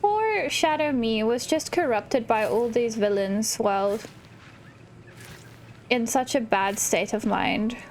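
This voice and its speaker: A woman with a fairly high voice talks through a video game in a casual, slow-paced way, sometimes murmuring to herself. There is faint noise behind her. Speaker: gaming channel host. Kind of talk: casual let's play commentary, reading game dialogue aloud.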